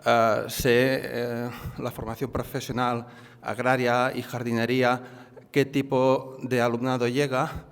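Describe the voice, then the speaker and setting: Man, mid-pitched, halting with lots of fillers, speaking in a hall with long reverb. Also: flat delivery, smooth. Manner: hesitant, many fillers and repeated words. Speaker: audience member, plant nursery owner. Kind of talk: spontaneous comment from the floor. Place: conference hall.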